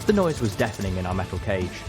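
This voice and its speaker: A man's voice: low voice, big pitch swings, quick pace. A man reading a story aloud as a dramatic first-person audiobook narrator. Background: music.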